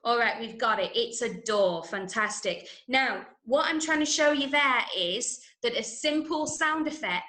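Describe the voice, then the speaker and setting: A woman with an English accent teaching an interactive online drama workshop, giving clear instructions and encouraging pupils as she repeats their answers. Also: fairly high pitch, unhurried, hardly any pauses, pushed and bright. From Manchester, UK. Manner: clear step-by-step instructions to children. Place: room, online video lesson. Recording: echoey room sound.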